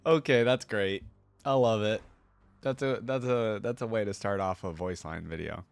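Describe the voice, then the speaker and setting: A man's voice: lowish voice, normal speed, creaky, clean tone, no echo, soft background music. A man hosting a reaction video, giving casual, exclamatory commentary and sounding easily impressed.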